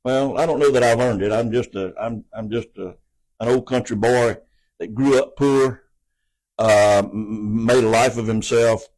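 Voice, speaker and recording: A man's voice: mid-range voice, fairly even intonation, measured pace, darkish tone, low-quality recording. A man, answering interview questions in an earnest, folksy way and often repeating words as he goes. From American South. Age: 80s. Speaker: city council candidate.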